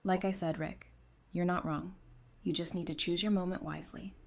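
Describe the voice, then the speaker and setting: A composed, perceptive survivor , played by a woman in an audio drama. She speaks in a fairly low voice, earnest and sure of herself, like someone used to reading people. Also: fairly even intonation, moderate pace, vocal fry, darkish tone. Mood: calm, firm. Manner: earnest, self-assured. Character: Talissa, composed perceptive female psychiatrist turned survivor.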